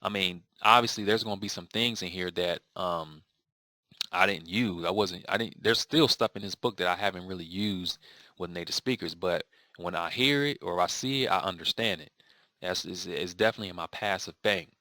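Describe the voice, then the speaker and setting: A man's voice: sits low, moderate pace, sharp tone, no room sound. A man answering viewer questions in a casual video lesson, rambling with many fillers and restarts. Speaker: language learning vlogger.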